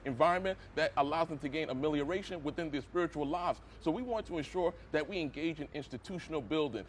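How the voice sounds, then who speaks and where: A man's voice: a little high, a little hiss or hum. A man from Ghana with an American accent, an interview guest answering in an oratorical, sermon-like way with numbered points. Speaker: interview guest, lawyer and missionary.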